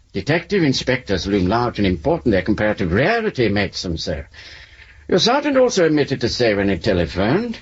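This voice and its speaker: A man's voice: medium pitch, husky. A man playing a flippant, theatrical novelist and ageing ex-communist in a radio drama, with an English accent and a wry, urbane, wordy manner. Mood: urbane, teasing. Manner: wry, verbose, urbane, mock-courteous. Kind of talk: 1970s radio drama acting. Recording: dull lo-fi 1970s radio recording.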